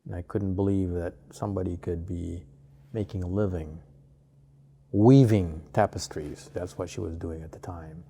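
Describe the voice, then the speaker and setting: A man in his fifties from Malaysia talks in a low, gravelly voice with a warm, mellow tone. He speaks slowly and loosely, with many pauses and fillers, as a guest in a relaxed interview. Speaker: artist guest.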